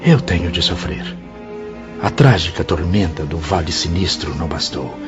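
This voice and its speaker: Man acting a deep-voiced, tormented Brazilian penitent spirit. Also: moderate pace, lightly acted. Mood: anguished, pleading. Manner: anguished, formal, theatrical pleading. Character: tormented, remorseful male suicide's spirit, desperate and pleading. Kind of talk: radio drama acting. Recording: dull lo-fi audio under clear music.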